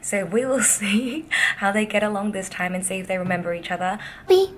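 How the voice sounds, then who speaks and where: A woman's voice: medium pitch, fairly quick, slight background noise. A young woman with an Australian accent chatting casually and expressively to camera at arm's length as she vlogs. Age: young adult. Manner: chatty, expressive, enthusiastic, uses "like" often. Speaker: vlogger.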